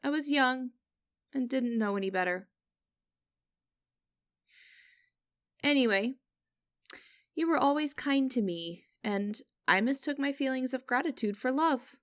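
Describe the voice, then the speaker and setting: Woman acting a stern, high-voiced lady boss. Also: expressive, no room sound, clean studio sound. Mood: bitter, controlled. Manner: condescending, formal, deliberate, teasing. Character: stern, mocking, vengeful young female company boss interviewing. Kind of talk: scripted ASMR-style audio roleplay.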